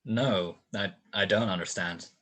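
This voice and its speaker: A man playing a world-weary, sardonic private detective in a 1940s-style radio play, with a wry, curt, deadpan delivery. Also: low voice, unhurried. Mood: weary, reluctant. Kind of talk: 1940s detective radio play acting with first-person narration.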